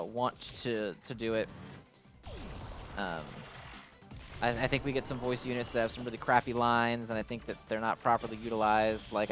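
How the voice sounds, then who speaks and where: A man giving casual, unscripted game commentary as a channel host, rambling and often hedging his opinions. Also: mid-range voice. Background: music.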